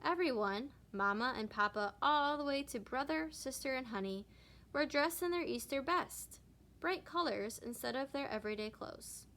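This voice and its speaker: A woman reading a children's story aloud, lingering deliberately on certain words. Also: high voice, lively intonation, fairly bright tone. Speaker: storybook reader. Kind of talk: children's read-aloud narration.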